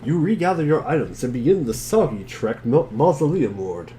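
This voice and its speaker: Animated, sardonic man reading aloud. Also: mid-range voice, moderate pace, slight background noise. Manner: sardonic, profane. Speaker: narrator and reader of a webcomic dubbed as a dramatic reading. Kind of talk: animated dramatic reading mixed with casual group chat.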